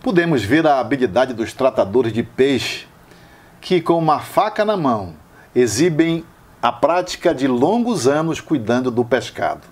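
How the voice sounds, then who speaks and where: A man's voice: mid-range voice, sing-song, normal speed, a little mellow. A man narrating a travel video voice-over about a fish market, with admiring delivery.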